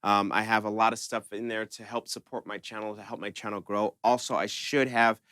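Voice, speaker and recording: Bright, clear-voiced man teaching casually. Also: fairly low pitch, flat delivery, fairly quick, dry recording, clean studio sound. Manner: informal, conversational instructor. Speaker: host of a synth tutorial channel. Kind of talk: casual step-by-step music tutorial.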